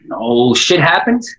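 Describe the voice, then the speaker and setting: A man's voice: on the high side, pushed and bright, exaggerated voice, poor audio. Man playing a wry young Californian man. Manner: wry, laughing things off. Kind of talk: play reading.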